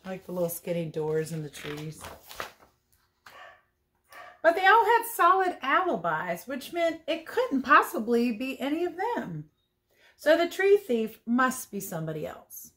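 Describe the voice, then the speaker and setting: A woman reading a picture book aloud as a teacher would, expressive and playful, with chatty asides about the pictures. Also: fairly high pitch, a little slow. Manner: playful, chatty, commenting on the pictures as she goes. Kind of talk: storybook read-aloud with casual asides.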